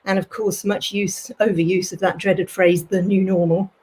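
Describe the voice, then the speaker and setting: Husky-voiced woman speaking on a panel. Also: medium pitch, flat delivery, moderate pace, a little mellow. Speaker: panelist, theology professor and college principal. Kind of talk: conversational panel talk.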